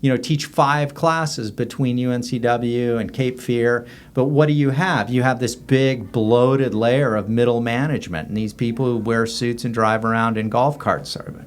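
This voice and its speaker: A man's voice: mid-range voice, steady tone, normal speed, hardly any pauses. American man, middle-aged, interview guest, blunt and opinionated, casual anecdotal delivery. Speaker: interview guest, author and former professor.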